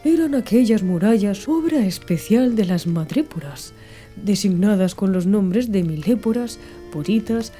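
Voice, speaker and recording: A woman's voice: mid-range voice, warm tone. A woman reading scripted audiobook narration.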